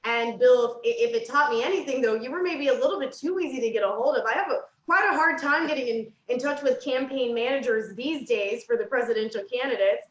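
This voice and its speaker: A woman's voice: fairly high pitch, brisk, few pauses, muffled recording. Articulate woman from Kansas, roomy sound. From Kansas, United States. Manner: friendly, articulate, lightly teasing. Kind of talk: panel discussion answers.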